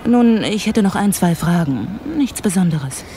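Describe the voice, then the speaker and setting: An actress playing a composed, teasing police investigator in a German audio drama, speaking in an unhurried, matter-of-fact way. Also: female voice, lowish voice, lively intonation, mellow, a little hiss or hum. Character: composed, teasing female Scotland Yard investigator.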